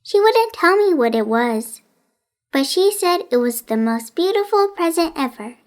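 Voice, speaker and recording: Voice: extremely high pitch, very animated, a little slow, voice pushed up, full character voice. A woman voicing a friendly, chatty young girl with an eager, lilting delivery in a children's story. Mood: bright, eager.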